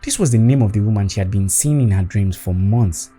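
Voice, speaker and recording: A man's voice: lowish voice, brisk, mellow, breathy edge, faint music behind. A man narrating a scripted spooky story in a dramatic storytelling manner. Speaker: storyteller, host.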